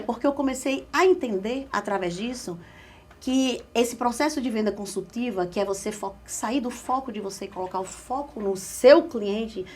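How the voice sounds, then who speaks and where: Lively Pernambuco woman telling her story. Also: sits high. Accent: Pernambuco Brazilian Portuguese. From Caruaru, Pernambuco, Brazil. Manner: expressive, emphatic, lively storytelling with repeated phrases. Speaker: guest, communication specialist. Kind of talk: casual interview storytelling.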